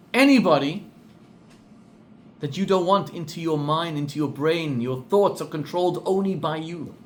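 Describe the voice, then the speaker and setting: A middle-aged rabbi with a South African accent telling a story in a sermon, acting out the people in it and repeating words for emphasis. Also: male voice, sits high. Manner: animated storyteller, acts out quoted voices, repeats for emphasis.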